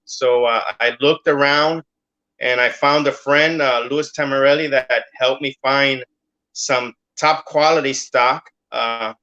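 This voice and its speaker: Middle-aged man, interview guest, hesitant, lots of fillers, bright tense voice, dull lo-fi sound. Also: medium pitch. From Cuba. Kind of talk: casual interview.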